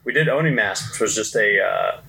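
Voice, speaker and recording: A man's voice: fairly low pitch, expressive, fairly quick, pushed and bright, poor audio. A man chatting casually as a panelist on a podcast. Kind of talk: casual chat.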